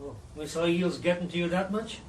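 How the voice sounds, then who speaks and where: A man's voice: high voice, moderate pace, slight background noise, lightly acted, poor audio. An actor playing a chatty, cheeky male street fixer in a radio drama. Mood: breezy. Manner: breezy, cheeky.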